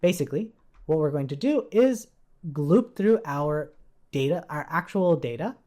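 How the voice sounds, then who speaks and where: A person giving a casual live-coding tutorial, thinking aloud informally and often checking in with a quick "right?". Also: mid-range voice, expressive, dark tone. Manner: informal, thinking aloud while working, tags statements with "right?". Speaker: instructor, coding tutorial presenter.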